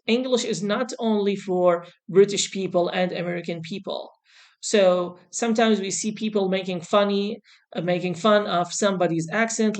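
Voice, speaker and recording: Slow, deliberate woman teaching. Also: on the low side, darkish tone. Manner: deliberate, repeats key points, reassuring. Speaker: English teacher giving exam tips. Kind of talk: instructional lesson to camera.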